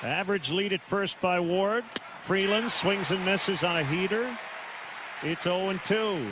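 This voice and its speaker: An American man calling live baseball on the radio in short, clipped phrases with pauses. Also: high-pitched, crowd noise. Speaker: baseball play-by-play announcer. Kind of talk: live radio play-by-play commentary. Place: stadium broadcast booth. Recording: noisy live ballpark broadcast.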